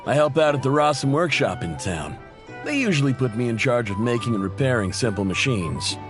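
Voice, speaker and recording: A man acts a sociable tradesman in a fantasy video game. He has a medium-pitched, animated delivery, easygoing and modest with a wry edge. Music plays clearly behind him. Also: a little fast, lightly acted. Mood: friendly, teasing. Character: sociable, self-effacing young-ish male machinist and family man. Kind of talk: video game dialogue acting.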